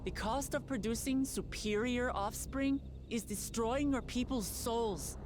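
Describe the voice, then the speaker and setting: An actress playing a determined young heroine in a video game cutscene, speaking in an earnest, even way. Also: female voice, fairly high pitch, unhurried, background noise, close to an everyday voice.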